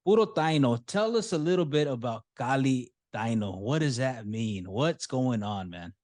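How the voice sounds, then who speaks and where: A man's voice: mid-range voice, lively intonation, moderate pace, darkish tone. A man hosting a podcast, rambling through questions with fillers and restarts. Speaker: podcast host. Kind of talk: casual interview talk.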